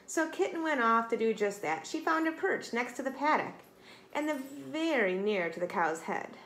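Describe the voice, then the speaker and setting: A woman's voice: on the high side, expressive, normal speed, fairly bright tone. A woman in her forties reading a children's story aloud expressively, like a teacher, recorded in a small room at home. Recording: echoey room, light background noise.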